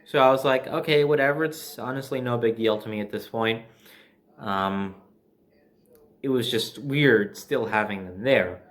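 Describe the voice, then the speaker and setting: A man's voice: mid-range voice, a little slow. Casual American man telling a personal story. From New Jersey, USA.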